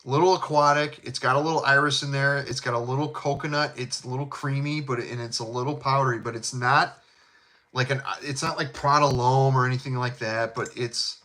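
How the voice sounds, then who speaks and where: A man's voice: mid-range voice, steady tone, brisk, pushed and bright. A middle-aged man with a thick Chicago South Side accent, chatting casually about fragrances in a rambling, chummy way. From Chicago, USA. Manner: laid-back, rambling, chummy, with fillers and laughs. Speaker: fragrance channel host. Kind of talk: casual live chat.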